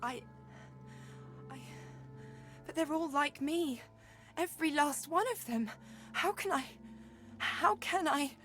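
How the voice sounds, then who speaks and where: A woman playing a troubled young female adventurer in a video game, halting and emotional. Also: sits high, expressive, fairly bright tone, soft background music, heavily acted. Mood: anguished. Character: anguished young woman adventurer wrestling with guilt.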